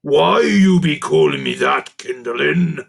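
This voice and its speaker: A fierce goblin-like creature king from a children's storybook, as a reader puts him on, suspicious of everyone. He barks short, gruff demands, his pitch leaping about. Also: huge pitch swings, takes it slowly, full character voice.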